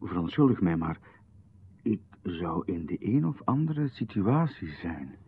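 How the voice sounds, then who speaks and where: A man's voice: low-pitched, big pitch swings, unhurried, breathy edge, exaggerated voice. A man playing a nervous, apologetic young artist. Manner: apologetic, hesitant, stammering. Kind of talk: 1990s radio drama acting. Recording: lo-fi radio broadcast, phone-like band.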